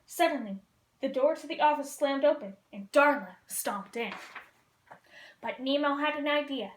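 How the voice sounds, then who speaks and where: A woman reading a children's picture book aloud as a costumed storyteller, in a lively, expressive way. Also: sits high, normal speed, a little hiss or hum. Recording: roomy echo.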